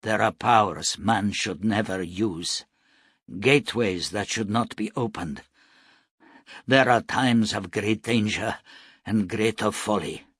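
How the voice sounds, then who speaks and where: A man voicing a gentle, wise wandering holy man in a storybook, speaking in a calm, measured, solemn way. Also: fairly low pitch, husky, no echo, studio quality, exaggerated voice. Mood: grave.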